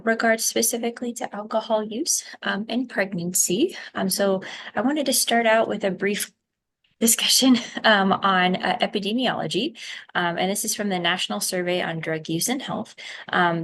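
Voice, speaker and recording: A young woman with a soft voice, a physician giving a medical lecture over a video call, hesitant with many ums and self-corrections. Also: on the low side, creaky. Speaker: physician presenter. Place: small indoor room. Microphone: webcam mic on a video call.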